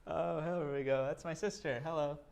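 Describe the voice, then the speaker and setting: A man's voice: a little high, steady tone, moderate pace, gravelly, a little mellow. A man performing and hosting a live improv comedy show, playful and fond of repeating a line for laughs.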